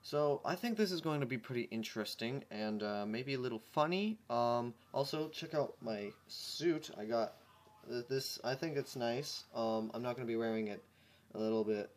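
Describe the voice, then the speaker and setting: A man's voice: mid-range voice, normal speed. A man casually reading a fan story aloud and commenting on it with amusement, often stumbling over words. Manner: amused, stumbling, laughs at the text. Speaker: channel host reading fan fiction.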